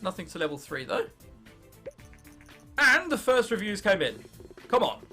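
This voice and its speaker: A teenage man from England with an English accent, commentating on gameplay and thinking aloud in an excitable, sweary, self-mocking way. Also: high-pitched, unhurried, slightly rough, soft background music. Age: late teens. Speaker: gaming video host.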